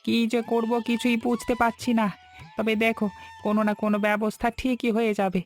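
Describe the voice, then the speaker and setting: A man's voice: very high-pitched, little pitch movement, a little fast, soft background music. An actor playing a weary, poor middle-aged fisherman, a worried and devoted husband, in a dramatic cartoon folk tale. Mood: reassuring.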